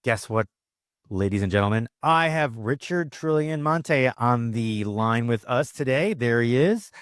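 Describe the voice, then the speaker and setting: A man's voice: mid-range voice, animated, unhurried, clear, resonant tone, no room sound, studio quality. Upbeat man hosting an interview. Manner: upbeat, emphatic host introductions. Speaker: podcast host.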